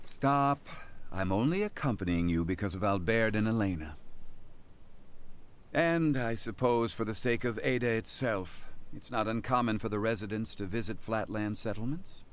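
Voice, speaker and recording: An actor playing a proud, gruff old sage in a video game, speaking formally and sternly in a weighty voice. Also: male voice, fairly low pitch, moderate pace, natural voice. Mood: curt, grudging.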